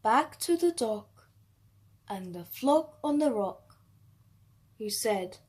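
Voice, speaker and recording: A woman reading a rhyming children's story aloud in an expressive, measured way. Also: on the high side, husky.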